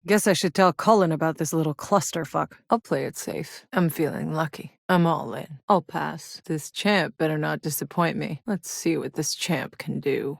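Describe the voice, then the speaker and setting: A woman's voice: sits low, vocal fry, no room sound. An actress playing a tough, weary, sardonic female soldier in a sci-fi video game, blunt and dry in manner. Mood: irritated, sardonic, then cool, confident. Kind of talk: scripted video game character dialogue.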